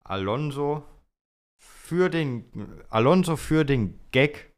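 A man's voice: a little high, lively intonation, takes it slowly, smooth. A man co-hosting a casual motorsport chat, speaking informally and repeating points for emphasis. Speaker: podcast co-host.

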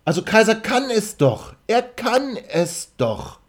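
A man's voice: high-pitched, big pitch swings, slow pace. A man hosting a casual football fan chat, teasing and repeating his phrases for emphasis. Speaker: podcast host.

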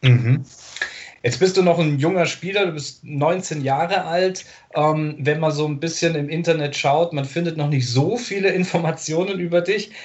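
A man's voice: a little high, normal speed, a little mellow. A man interviewing a tennis player, asking long, chatty questions and often stopping to search for words. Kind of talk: sports interview. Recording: dull lo-fi sound.

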